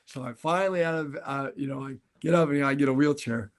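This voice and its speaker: An older man with a naturally raspy voice talks in a relaxed podcast chat. He tells his story earnestly, breaking into laughs as he goes, and his pitch sits right in the middle for a man. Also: a little fast. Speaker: podcast co-host.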